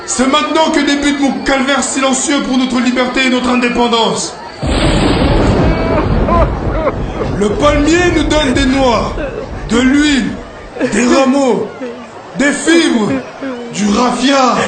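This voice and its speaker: Un homme jouant dans un dessin animé un prophète solennel, meneur vers la liberté, qui exhorte son peuple en déclamant. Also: male voice, extremely high pitch, a little slow. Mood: solemn, prophetic. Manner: declamatory, exhortative preaching with emphatic slogans. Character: solemn prophet and freedom leader addressing his people. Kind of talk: animated film oratory, prophetic speech. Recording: echoey, noisy sound with crowd behind.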